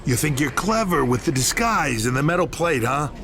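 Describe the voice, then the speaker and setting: An actor playing a menacing, smug wrestling boss who taunts in a deliberate promo speech. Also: male voice, a little high, animated, a bit bright. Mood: smug threat. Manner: slow, taunting, deliberate pauses. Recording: hall echo, faint background noise.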